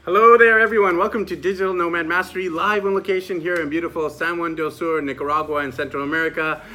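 A man's voice: on the high side, steady tone, fast, pushed and bright. A man hosting an online interview show in an enthusiastic, chatty way.

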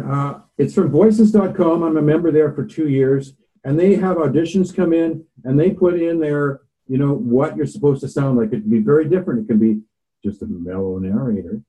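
Chatty man with a dark, mellow voice. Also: a little high, normal speed, low-quality recording. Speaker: voiceover artist guest. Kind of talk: casual podcast chat.

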